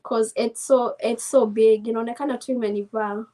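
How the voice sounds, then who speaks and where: Young Kenyan woman, soft voice, relaxed interview guest, light and a bit high. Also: fairly quick. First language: Swahili. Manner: soft-spoken, mixes Swahili and English, laughs often. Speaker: interview guest, navy sailor. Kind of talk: casual interview chat.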